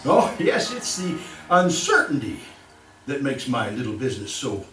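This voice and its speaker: An actor playing a boastful, cunning mad serial killer in old-time radio horror style, with a chuckling, halting, sinister delivery. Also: male voice, mid-range voice, wildly swooping pitch, fairly bright tone. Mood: gloating, sly. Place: live theatre stage performance. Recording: echoey live stage recording, distant mic, music.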